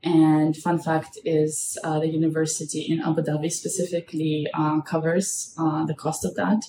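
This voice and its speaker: A young woman from Russia speaks as an interview guest in a conversational way. Her voice is quite low for a woman. The recording is echoey, with faint noise in the background. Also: little pitch movement, moderate pace. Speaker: podcast guest, marketing professional.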